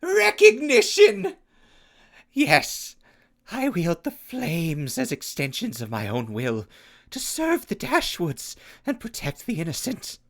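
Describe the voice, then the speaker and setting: A man's voice: high voice, huge pitch swings, slow pace, heavily acted. A man playing a volatile pyromaniac clown soldier in an audio drama, with grandiose, florid speech and swooping outbursts. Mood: delighted.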